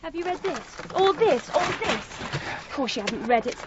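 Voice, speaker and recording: A brisk, principled office helper in a radio play. Her high, lively voice scolds with bossy, indignant emphasis. The sound is slightly muffled. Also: noisy background. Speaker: actor in a radio drama. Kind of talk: radio drama acting. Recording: dull muffled recording.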